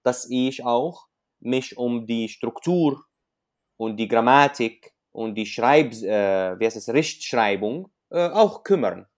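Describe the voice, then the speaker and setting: A man's voice: mid-range voice, expressive, takes it slowly. A man from Syria, a native Arabic speaker with an Arabic accent, talking as an author in an interview and often searching for words in a language he learned later. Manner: hesitant, searching for words in non-native German, explains with examples. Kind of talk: conversational interview.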